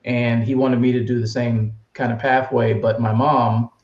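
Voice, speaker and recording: A man's voice: mid-range voice, level tone, moderate pace, a little mellow. A man as a podcast guest, telling his story in an easygoing way. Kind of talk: casual interview answers. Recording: echoey room, dull lo-fi sound.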